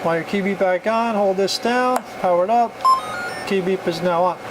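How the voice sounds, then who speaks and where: A man's voice: high voice, moderate pace. A man giving a casual, plainspoken, hands-on demonstration of equipment in an informal amateur recording. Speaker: product reviewer demonstrating a CB radio. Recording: noisy amateur recording, loud background hum.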